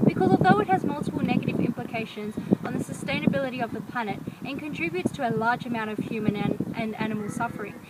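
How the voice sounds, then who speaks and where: High-voiced young woman reading outdoors. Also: fast talker, hardly any pauses. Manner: reads a prepared script in a formal, even way. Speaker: university student presenter. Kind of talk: scripted academic presentation. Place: outdoors by a rural paddock. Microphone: camera mic from a few metres away. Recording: loud outdoor ambient noise.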